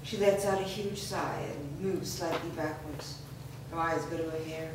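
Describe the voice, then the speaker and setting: A woman's voice: deep voice, fairly even intonation, normal speed. A woman reading stage directions as scripted narration at a staged screenplay reading. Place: live staged reading in a reverberant room. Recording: distant, very echoey room, background noise.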